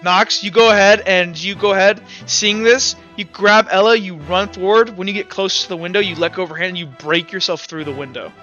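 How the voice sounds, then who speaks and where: High, bright-voiced young man running a game. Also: steady tone, fairly quick, few pauses. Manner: rambling, heavy use of "like". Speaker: game master. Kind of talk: narrating a tabletop game. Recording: dull lo-fi sound with faint music.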